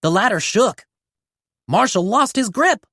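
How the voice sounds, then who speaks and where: A woman narrating a children's storybook aloud in an animated read-aloud style. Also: on the high side, measured pace, slightly rough, dry recording, studio quality. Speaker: storybook reader.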